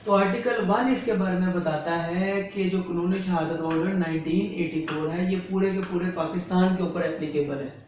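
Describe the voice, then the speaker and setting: A man's voice: sits high, fairly even intonation, normal speed, few pauses. A man with a Pakistani accent giving an instructional lecture in a didactic manner, repeating key phrases for clarity. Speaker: lecturer and advocate explaining law. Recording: very echoey room, distant mic, faint noise.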